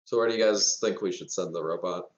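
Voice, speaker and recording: A man's voice: low voice, fast, reverberant, dull audio. A man chatting casually as a player in a tabletop game. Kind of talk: casual chat.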